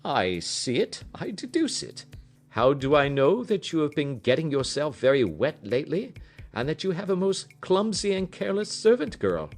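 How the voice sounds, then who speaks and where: A man voicing a brisk, self-assured gentleman detective in an audiobook, precise, emphatic and teasing. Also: on the high side, sing-song, soft background music, pitched above the performer's own voice. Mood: brisk, confident. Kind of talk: audiobook character reading.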